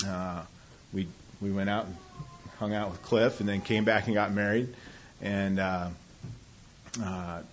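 Guy telling his recovery story, lowish voice, keeps restarting, chuckles at himself. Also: a bit bright, a little hiss or hum. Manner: halting, many restarts and self-deprecating laughs. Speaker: speaker sharing a recovery story. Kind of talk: personal testimony talk.

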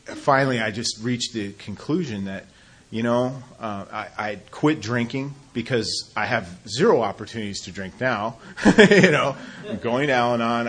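A man sharing his own recovery story in a halting, self-deprecating way, often restarting sentences and laughing at himself. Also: on the low side, a bit bright. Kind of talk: personal testimony talk.

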